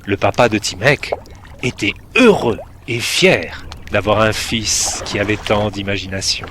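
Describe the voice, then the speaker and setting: A man narrating a children's story in a gentle, expressive way. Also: lowish voice, a little slow, a little hiss or hum.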